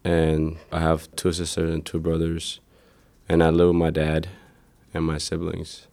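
A young man from Ethiopia, a native Amharic speaker, answering interview questions in a hesitant, plain way with many pauses. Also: very deep voice, completely flat delivery, gravelly, a little mellow. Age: teens to young adult.

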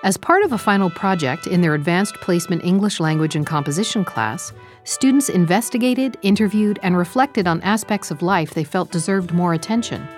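A woman reading a formal, measured podcast introduction. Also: on the low side, animated. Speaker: podcast announcer. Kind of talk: scripted intro announcement. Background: soft guitar music.

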